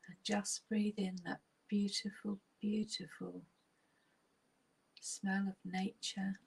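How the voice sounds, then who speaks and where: A woman with a soft, breathy, near-whispering husky voice, leading a guided relaxation meditation in a soothing, unhurried way with long pauses. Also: medium pitch, level tone, poor audio.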